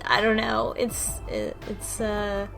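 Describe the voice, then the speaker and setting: A woman talks casually as a podcast co-host, her voice sitting at an average pitch. She retells a story in a loose, conversational way with wry asides, and music plays underneath. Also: very animated, slow pace, creaky, a bit bright. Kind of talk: casual book club discussion of a game.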